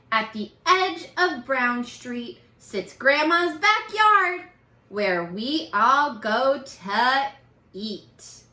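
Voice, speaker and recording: Animated, bright-voiced woman reading to children. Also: high voice. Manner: expressive, exaggerated, encouraging toward young listeners. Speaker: teacher reading a picture book aloud. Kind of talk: animated children's read-aloud with questions to listeners. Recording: echoey, roomy sound.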